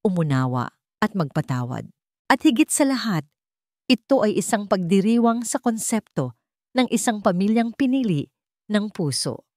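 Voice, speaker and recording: A woman giving an earnest, sentimental, measured scripted narration of a love story, as its narrator and host. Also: medium pitch, darkish tone, dry recording, clean studio sound.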